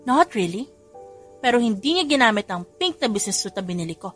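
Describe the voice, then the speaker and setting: Woman narrator doing a bold, theatrical young heroine, higher and brighter than her narration. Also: big pitch swings, brisk, faint music behind. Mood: sulky. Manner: gloating then tearful, exclaiming. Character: young woman, bold and emotional, new factory owner.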